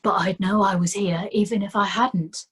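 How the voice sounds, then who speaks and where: A woman voicing a shrewd male watch commander who speaks briskly with dry, mock cheerfulness. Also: mid-range voice, fairly even intonation, fairly bright tone, husky. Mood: wry.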